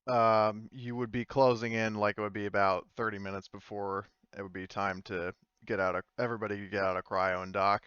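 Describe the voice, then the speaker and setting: A man runs a tabletop game in casual narration. His voice is low, smooth and bright, captured on a dry, slightly dull microphone. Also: little pitch movement, brisk, few pauses, dry recording. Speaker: game master.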